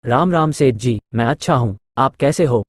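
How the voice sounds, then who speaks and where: A man plays a polite, simple villager in a Hindi cartoon story. The pitch sits in a middle range and the sound is close and dry, without any echo. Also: expressive, moderate pace, natural voice.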